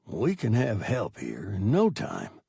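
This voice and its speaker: Guy doing a tired gruff pilot, talks low and slow, tries to sound calm. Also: no room sound, clean studio sound, full character voice. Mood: soothing. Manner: low and deliberately soft, trying to sound calm. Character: weary middle-aged male pilot, gruff but fatherly.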